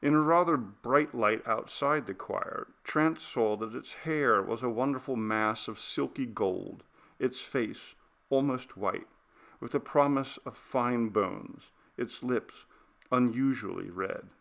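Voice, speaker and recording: A man narrating a literary ghost story as an audiobook reader, with measured, deliberate phrasing. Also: mid-range voice, vocal fry, a bit bright.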